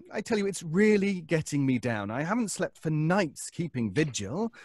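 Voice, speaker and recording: An actor playing a nervous, obsessive neighbor in an old-time radio suspense drama, stammering and pleading. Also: male voice, on the high side, sing-song, measured pace, breathy edge, no echo, studio quality, full character voice. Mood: anguished, nervous. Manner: stammering, hesitant, breaking off.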